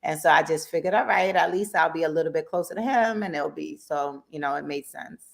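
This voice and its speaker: A woman in her thirties from Jamaica, raised in Brooklyn, talking as a guest entrepreneur in a relaxed, chatty radio interview. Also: sits low, a little fast, gravelly. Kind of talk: casual interview chat. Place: radio studio.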